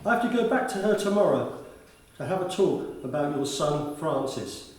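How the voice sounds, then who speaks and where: An actor playing a kindly, talkative school attendance officer in a radio play, with polite and formal manners. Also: male voice, a little high, sing-song, moderate pace, a little mellow. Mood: courteous. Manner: courteous, formal address, talkative. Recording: very echoey room, distant from the mic.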